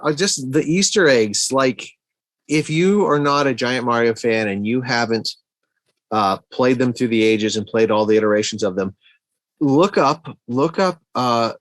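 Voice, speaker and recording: A man with a mid-pitched voice talks films on a podcast. He is an enthusiastic fan, working out his thoughts aloud in a casual way. The recording is close and dry. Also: measured pace, dry recording. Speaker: podcast guest or co-host, movie fan. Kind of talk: casual film discussion.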